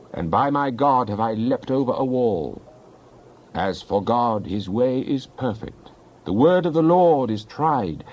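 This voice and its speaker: A low-pitched man narrates scripture as a dramatized reading. His delivery is solemn and declamatory, suited to old-fashioned biblical verse. The recording is dull and lo-fi. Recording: dull, lo-fi audio.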